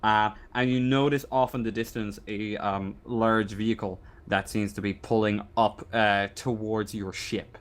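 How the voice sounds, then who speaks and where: A man running a tabletop roleplaying game as game master, improvising narration in a halting way, searching for words. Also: lowish voice, fairly even intonation.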